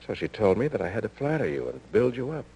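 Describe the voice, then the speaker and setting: A man's voice: on the low side, big pitch swings, fast, dark tone, slight background noise, rough recording. An actor playing an earnest, conflicted married man in a 1950s style radio soap, on a humming old recording. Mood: anguished, urgent.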